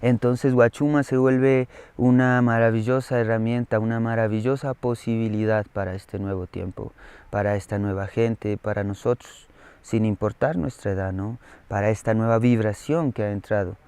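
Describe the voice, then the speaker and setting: Colombian guy, low steady voice, smooth and clear, chanty repeating lines, dry close sound. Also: moderate pace, dry recording. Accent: Colombian Spanish. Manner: rhythmic, repetitive, incantatory phrasing. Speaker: traditional medicine speaker. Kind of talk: slow ceremonial spoken reflection.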